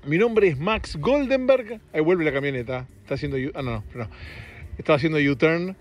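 Higher-voiced, slightly raspy Argentine man. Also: animated, a little fast, soft background music. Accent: Argentine Spanish. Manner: self-deprecating, joking. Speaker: podcast host. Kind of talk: casual rambling solo podcast monologue. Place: outdoors on a street.

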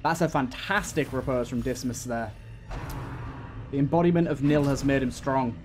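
A man's voice: mid-range voice, normal speed, a little mellow, faint music behind. A man giving casual gameplay commentary, thinking aloud and talking to the game's characters. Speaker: gaming video host.

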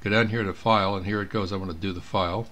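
A man's voice: on the low side, steady tone, very fast, a bit bright. A man giving a casual screen tutorial as an amateur radio operator, thinking aloud as he works. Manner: thinking aloud while working. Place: indoors at a computer.

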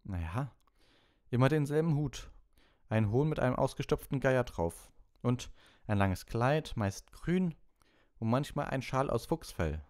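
A man's voice: mid-range voice, expressive, unhurried, darkish tone, pitched above the performer's own voice, close to an everyday voice. A man voicing a nervous, timid schoolboy in an audiobook, hesitant and unsure. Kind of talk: audiobook character voice.

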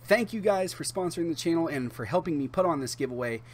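Male channel host, 30s, slightly high voice, casual and chatty, halting delivery for giveaway announcements. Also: animated.

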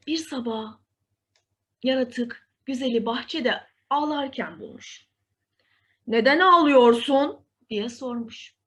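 A woman storyteller reading a scripted fairy tale for children in an expressive, deliberate way. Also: a little high.

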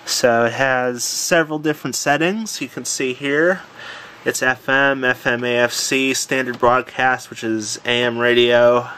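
A man casually demonstrating a home electronics project, unhurried and thinking aloud with fillers, filmed on a handheld camera in a room at home. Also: medium pitch, steady tone, sharp tone, a little hiss or hum. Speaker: tutorial presenter. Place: home room with old radio.

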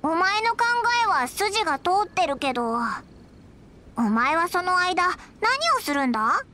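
A woman voicing a tiny, cheeky, grumpy fairy companion with a squeaky childlike voice in a Japanese game dub. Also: lively intonation, measured pace, bright, forceful tone, slight background noise, exaggerated voice. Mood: doubtful. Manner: childish, blunt, pouty. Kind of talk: Japanese anime-style game dub acting.

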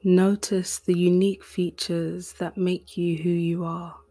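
Slow, breathy woman guiding a meditation. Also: on the low side, little pitch movement. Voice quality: soft, breathy. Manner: soothing, gentle, hushed. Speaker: meditation guide. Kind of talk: guided meditation, scripted narration. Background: music.

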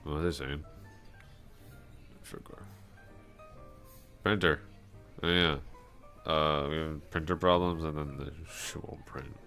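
A man playing a drunk, awkwardly smitten office coworker in an intimate ASMR roleplay, rambling, hesitant and trailing off in a slurred, gravelly voice. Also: extremely low pitch, fairly even intonation, slow pace, pauses often, bright, forceful tone, soft background music, full character voice. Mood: drunken rambling.

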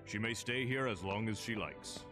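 A man playing a wise, solemn king who is tormented by indecision, in an animated fairy tale. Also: fairly low pitch, moderate pace, soft background music. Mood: calm, regal.

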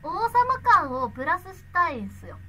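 A woman directing a voice drama rehearsal in a casual, excitable, effusive way, often repeating her phrases. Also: extremely high pitch, normal speed.

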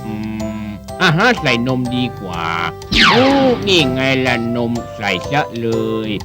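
An actor voicing an eager inventor in an educational children's cartoon, musing aloud with little hums. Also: male voice, medium pitch, huge pitch swings, over music. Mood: curious, pleased. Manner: slow musing with hums and chuckles.

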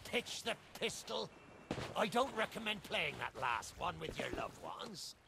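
An actor playing a sly, boastful cursed skeleton pirate captain in a video game, with a gravelly, theatrical West Country pirate drawl. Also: male voice, sits high, sing-song, unhurried, full character voice. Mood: wistful, sinister. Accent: stage pirate West Country English. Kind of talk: video game character acting. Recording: loud game music behind the voice. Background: loud music.

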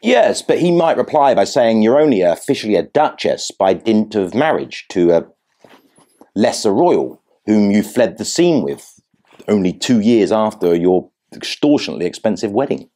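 A man's voice: on the low side, sing-song, moderate pace, mellow. A man playing a pompous, sardonic interviewer in a satirical mock interview, with florid, needling delivery. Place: furnished sitting room indoors. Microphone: lapel.

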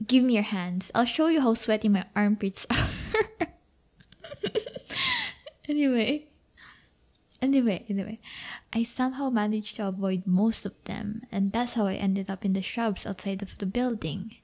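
A woman's voice: mid-range voice, normal speed, a little mellow, close to an everyday voice. A woman playing a brash, playful teenage delinquent girlfriend in an intimate audio roleplay, with slangy, teasing, casual talk. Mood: exasperated, rambling. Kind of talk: audio roleplay acting, intimate one-sided dialogue.

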